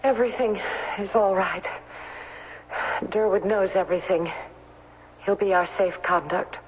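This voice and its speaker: An actress as anxious, tender young heroine, unhurried. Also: female voice, medium pitch, natural voice. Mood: anxious relief. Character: anxious, tender woman relieved after danger. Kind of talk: 1970s radio drama acting. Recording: tinny 1970s radio broadcast, faint noise.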